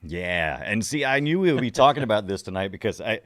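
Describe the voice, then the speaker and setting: A man's voice: fairly low pitch, very animated, a little fast, fairly bright tone, a little hiss or hum. A middle-aged man hosting a podcast in a casual, jokey, rambling chat. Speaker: podcast host.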